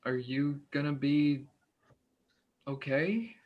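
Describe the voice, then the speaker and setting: A man's voice: fairly high pitch, takes it slowly, muffled recording. Man acting as Josh, an awkward, well-meaning guy. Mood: hesitant, concerned. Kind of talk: staged play reading.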